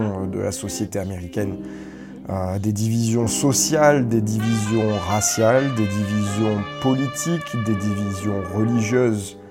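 A man from southern France, a film composer and sound designer, giving a halting testimony full of fillers and restarts. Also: on the low side. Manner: hesitant, many fillers and restarts. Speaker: film composer and foley artist. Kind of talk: recorded testimony.

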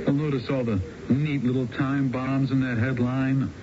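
An actor playing a brash small-time crook just out of jail in a radio drama, mocking and wheedling. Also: male voice, mid-range voice, little pitch movement, unhurried, crowd noise, lightly acted. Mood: mocking, sly. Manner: mocking, ingratiating. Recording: muffled, noisy 1970s radio broadcast.

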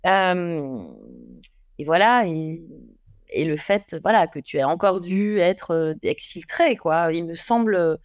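A man's voice: on the high side, no echo, studio quality. A man hosting an interview, asking long rambling questions full of fillers. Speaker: podcast host.

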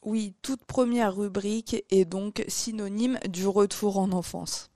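A woman co-hosts a casual podcast interview. Her voice is mellow and dark, and she puts her questions in a friendly, measured way. Faint music sits behind her. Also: mid-range voice, fairly even intonation, dry recording, studio quality. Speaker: podcast co-host.

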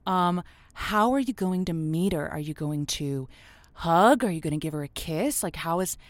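A woman playing an affectionate, encouraging call-in advice host, folksy and halting, in a semi-improvised audio drama. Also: lowish voice, animated, no room sound, lightly acted. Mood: awkward, encouraging. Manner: folksy, halting, reassuring, pet names. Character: encouraging, affectionate female lonely-hearts radio show host.

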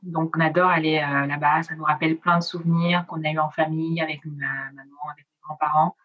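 A woman's voice: low voice, steady tone, brisk, highs cut off. A middle-aged woman from southern France, with Italian as her first language, chatting in an interview with many hesitations and trailing endings. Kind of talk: casual interview chat.

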